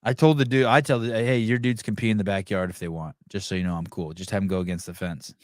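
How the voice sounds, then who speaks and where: A man hosting a podcast, chatting in a casual, profane, animated way and telling stories with put-on voices. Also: lowish voice, quick pace, dry recording, studio quality. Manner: profane, animated storyteller who does voices. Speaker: podcast host. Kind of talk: casual banter. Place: California home.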